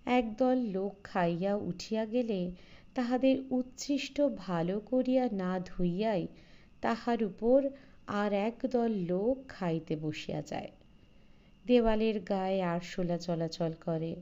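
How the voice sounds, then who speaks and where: A woman's voice: medium pitch, takes it slowly, dark tone, highs cut off. A woman reading a literary short story as an audiobook narrator, in a scripted narration style.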